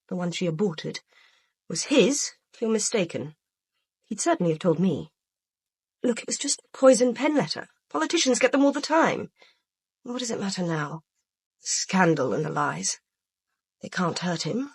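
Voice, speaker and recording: Husky, proud, wounded secret lover. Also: female voice, mid-range voice, animated, normal speed, long pauses, a little mellow, dry recording. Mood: bitter, wounded. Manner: bitter, controlled, emotional. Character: proud, wounded secret mistress, guarded and defiant. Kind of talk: radio drama acting.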